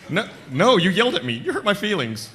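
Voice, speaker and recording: An actor playing a dutiful, nervous soldier, deferential and easily wounded, in a comedy table read. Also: male voice, high-pitched, wildly swooping pitch, fairly quick, a bit bright, heavily acted. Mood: hurt. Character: Simmons, hurt, dutiful, nervous young soldier subordinate.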